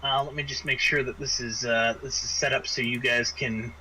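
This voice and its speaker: A man's voice: mid-range voice, flat delivery, moderate pace, pushed and bright, low-quality recording. A man running a casual online tabletop game as game master, explaining things in a hesitant, stop-start way. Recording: faint background noise.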